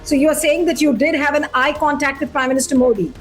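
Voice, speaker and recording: A woman's voice: high voice, fast, soft background music. A woman hosting a news programme, formal and pressing with follow-up questions. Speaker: show host. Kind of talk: news interview and programme intro.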